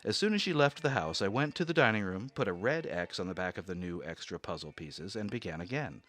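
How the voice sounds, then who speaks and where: A man's voice: low-pitched, animated, a little fast, fairly bright tone. A man narrating his own story in a radio drama, anxious and growing obsessive.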